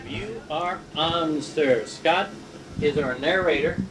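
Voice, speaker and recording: A man's voice: on the high side, slow pace, background noise. A man hosting a live screenplay table read, introducing it to those present. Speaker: event host.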